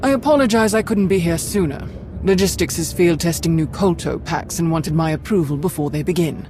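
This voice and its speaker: A firm, brisk woman's voice, as an actress plays her of a commanding Sith lord who expects obedience. Soft music plays faintly behind her in the game scene. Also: lowish voice, close to an everyday voice. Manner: brisk, authoritative.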